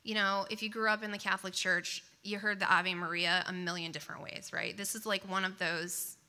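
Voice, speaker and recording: A woman's voice: mid-range voice, little pitch movement, a little fast. A woman speaking to a congregation before a worship song, chatty and informal, with many asides, English not her first language. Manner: chatty, warm asides, many "um" and "right?" tags. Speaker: worship leader. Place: congregation service.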